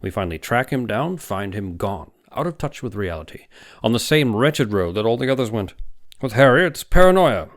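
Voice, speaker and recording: A man's voice: sing-song, normal speed, close to an everyday voice. A man voicing a huge, domineering older doctor in an audiobook, heavy-voiced, emphatic and insistent. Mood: intense, insistent. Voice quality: heavy, booming. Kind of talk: audiobook character dialogue.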